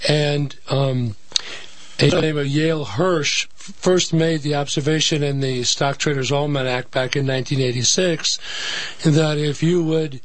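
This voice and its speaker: A man with a slightly hoarse voice, a financial advisor explaining market patterns as a guest in a radio interview. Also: a little high, measured pace, no room sound, low-quality recording. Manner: explanatory, steady. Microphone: studio microphone.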